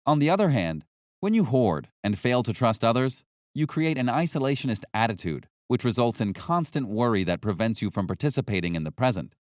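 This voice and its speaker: A man reading scripted nonfiction as an audiobook narrator, with a measured, instructional delivery. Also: medium pitch, big pitch swings, no echo, studio quality.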